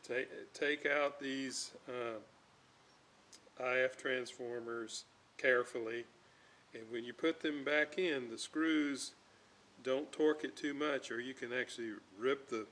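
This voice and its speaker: A man giving an unscripted hands-on repair demonstration, talking in a halting way as he thinks aloud. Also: mid-range voice, fairly even intonation, fairly bright tone. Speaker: host, electronics restorer. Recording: clear background noise.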